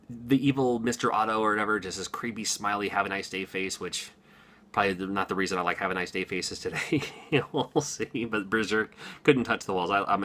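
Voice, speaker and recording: Chatty man with a bright forceful voice. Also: low-pitched. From Washington, USA. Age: 40s. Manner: rambling and chatty, many ums and asides, chuckles often. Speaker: gaming YouTuber. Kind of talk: casual unscripted monologue to camera.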